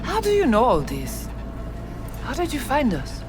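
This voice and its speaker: An actress playing a cool, commanding female hacker gang leader with a Haitian Creole accent and formal, measured speech in a video game. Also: high-pitched, huge pitch swings, full character voice. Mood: cool, calculating. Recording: music behind the voice.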